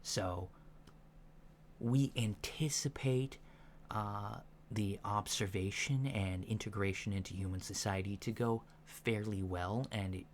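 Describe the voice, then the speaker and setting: A soft-spoken man in an ASMR roleplay, playing a calm alien instructor with a halting, deliberate, matter-of-fact delivery, in a lo-fi recording. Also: low-pitched. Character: calm alien instructor briefing disguised recruits on humans. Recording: lo-fi recording with faint background noise.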